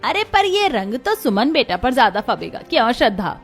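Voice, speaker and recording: A woman's voice: fairly high pitch, very animated, normal speed. A woman playing a scheming, bossy mother-in-law in a theatrical family story drama. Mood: falsely sweet. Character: scheming, commanding middle-aged mother-in-law feigning sweetness. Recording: music behind the voice.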